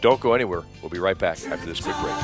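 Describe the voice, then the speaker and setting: A man hosting a live radio talk show from a convention booth, chatty and enthusiastic, reading out listener messages and station shout-outs. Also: sits low, animated, fast, over loud music.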